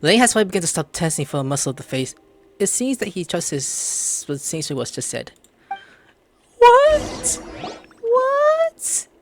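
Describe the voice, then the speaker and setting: A woman giving excitable, theatrical gameplay commentary, swinging between muttering and shrieking. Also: mid-range voice, moderate pace, a little hiss or hum. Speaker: gaming video host. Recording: game music clearly behind the voice.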